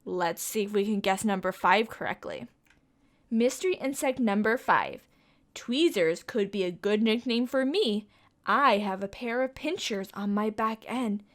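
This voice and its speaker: A young woman reading a picture book aloud to children, with a sing-song, coaxing way of asking the listeners questions. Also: mid-range voice. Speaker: children's storytime reader.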